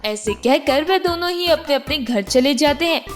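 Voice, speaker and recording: High-voiced woman narrating a children's story. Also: animated, normal speed. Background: music.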